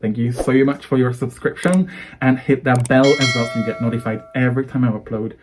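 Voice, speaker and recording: A man's voice: mid-range voice, brisk, background noise. A man from Hungary, a native Hungarian speaker with a Hungarian accent, giving an earnest, persuasive English-coaching lesson to camera. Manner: earnest, persuasive, builds lists of phrases.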